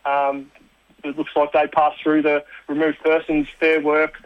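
Man, union report on radio, halting and filler-heavy, slightly high, monotone. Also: no echo, narrow, tinny audio. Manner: blokey, rambling, many fillers. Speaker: union organiser giving a report. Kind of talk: casual radio chat.

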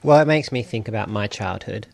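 A man's voice: lowish voice, animated, brisk, vocal fry. A man, a writer guest speaking in a reflective, earnest way on radio. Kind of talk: radio interview.

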